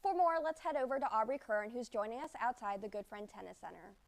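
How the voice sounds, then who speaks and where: Bright-voiced young student sports anchor. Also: female voice, on the high side, animated, fast talker, gravelly. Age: young adult. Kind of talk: read news script.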